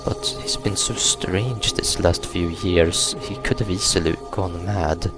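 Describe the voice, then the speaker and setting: Deep voiced guy slowly reading out a horror game story, subdued, music going behind him. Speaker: gaming YouTuber reading a visual novel aloud. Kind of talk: reading horror game text aloud, narration and dialogue.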